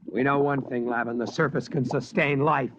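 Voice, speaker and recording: A man's voice: medium pitch, fairly even intonation, moderate pace, natural voice. An actor playing a devout, steadfast man of faith in a 1950s radio drama, speaking earnestly and formally. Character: devout, steadfast male believer aboard a tiny ship. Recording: muffled, noisy old radio recording.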